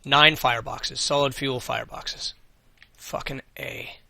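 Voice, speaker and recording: Bright-voiced man losing his voice. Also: mid-range voice, steady tone, a little slow, gravelly, dry recording. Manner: thinks aloud, mutters, swears casually. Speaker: let's play host. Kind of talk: casual gameplay commentary.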